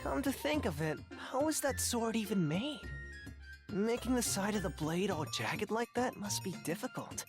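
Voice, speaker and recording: A woman voicing a curious, easily alarmed youngster in an anime game, expressive and blunt. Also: medium pitch, normal speed, over music, close to an everyday voice.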